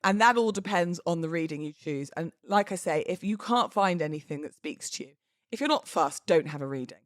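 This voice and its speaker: A woman's voice: on the low side, brisk, no echo. Chatty British woman, close dry sound. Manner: chatty, informal and expressive, with British slang. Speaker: podcast host and wedding celebrant. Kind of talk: casual solo podcast chat.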